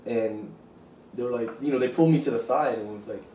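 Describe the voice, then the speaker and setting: A man's voice: mid-range voice, brisk, mellow, slight background noise. A man, talking casually in an interview, loose and rambling with many fillers. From South Korea. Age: 20s. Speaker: street artist interviewee. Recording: roomy, distant mic, faint ambience.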